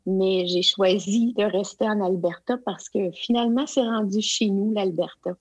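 A woman in her forties from Quebec, with a Quebec French accent, chatting as an interview guest and pausing often with fillers and restarts. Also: mid-range voice, steady tone, darkish tone, slightly rough, dry recording. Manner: hesitant, many fillers and restarts. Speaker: guest, provincial education consultant. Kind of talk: casual interview conversation.

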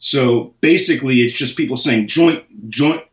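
A man's voice: mid-range voice, moderate pace, reverberant, distant mic. A man from Philadelphia with a slight Philly accent, chatting casually and laughing often in slangy talk. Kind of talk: casual chat.

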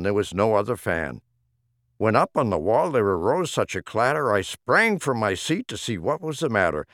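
A man's voice: on the low side, fairly bright tone, no echo, studio quality. A man reading humorous rhyming poems as an audiobook narrator, with a theatrical, rhythmic delivery. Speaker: audiobook reader.

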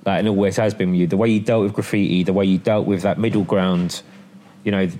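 A man's voice: low-pitched, steady tone, a little fast, warm tone. A man hosting a podcast, asking questions casually and chiming in eagerly. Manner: encouraging, eager interjections. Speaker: podcast host. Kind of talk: casual interview.

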